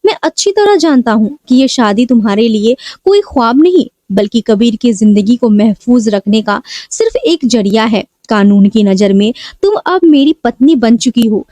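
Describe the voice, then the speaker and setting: An earnest, protective policeman from an audio story, voiced by a woman narrator. He speaks softly and gravely in measured phrases. The voice sits high, with a mellow tone and a dry, close sound. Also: no room sound.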